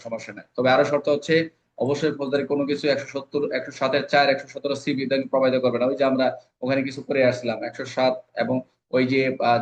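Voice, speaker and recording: Rapid-fire Bangladeshi male law tutor, fairly flat. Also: medium pitch. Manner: repetitive, drilling with rhetorical questions he answers himself; mixes English legal terms into speech. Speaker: law exam coach. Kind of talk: informal lecture explaining legal code sections. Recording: dull, lo-fi audio.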